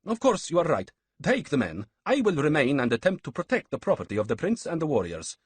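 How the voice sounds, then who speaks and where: A man's voice: a little high, wildly swooping pitch, a little fast, breathy edge, no echo, voice pushed up, heavily acted. A man voicing a pious, evasive male Persian priest in a raised, sing-song voice.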